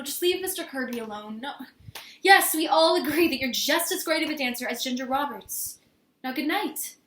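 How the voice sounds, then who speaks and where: Woman acting high-voiced, earnest, flustered young woman in love. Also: a bit bright. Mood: hesitant, regretful. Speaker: amateur actor performing a monologue. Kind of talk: theatrical stage monologue. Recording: echoey room with faint noise.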